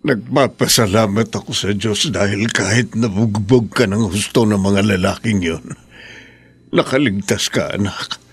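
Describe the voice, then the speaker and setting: Slow, husky grieving old father. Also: on the low side, exaggerated voice. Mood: sad, gentle. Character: grieving, devout older father, gentle but reproachful. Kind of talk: Filipino radio drama acting. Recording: radio drama with music underneath.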